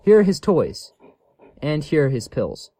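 A man's voice: on the high side, wildly swooping pitch, unhurried, warm tone, no room sound, clean studio sound, full character voice. A man playing a caring, cautionary animal shelter worker in a scripted audio story, earnest and explanatory. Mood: cautioning, helpful.

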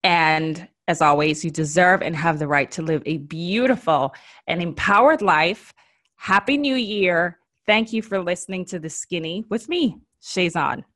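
Female podcast host, low voice, chatty and encouraging, style tips. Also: a little slow, fairly bright tone.

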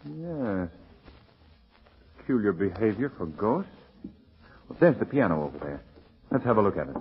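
An actor playing a composed, inquisitive gentleman investigator in a 1940s radio drama, speaking politely and with authority. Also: fairly low pitch, very animated, normal speed, husky, exaggerated voice. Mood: urgent, decisive. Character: composed, inquisitive gentleman investigator, middle-aged, courteous and decisive. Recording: muffled 1940s radio recording.

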